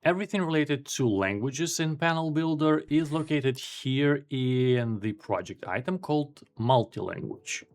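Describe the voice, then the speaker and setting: Ukrainian man explaining software calmly. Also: on the high side, a little slow, hardly any pauses. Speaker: software tutorial presenter. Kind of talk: screen-recorded software tutorial.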